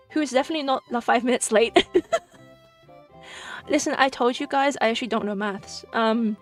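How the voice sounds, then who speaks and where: A woman's voice: a little high, far from the mic. A woman streaming casually as a VTuber rep, bubbly and giggly, with lots of fillers and playful restarts. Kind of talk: casual live-stream chat. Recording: voice a little off-mic, faint music behind.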